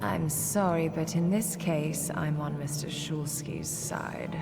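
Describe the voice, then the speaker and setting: Low-voiced, cool rebel conspirator. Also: female voice, takes it slowly, vocal fry, very noisy, heavily acted. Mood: cool resolve. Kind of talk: dramatic game dialogue. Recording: long hall reverb, loud music.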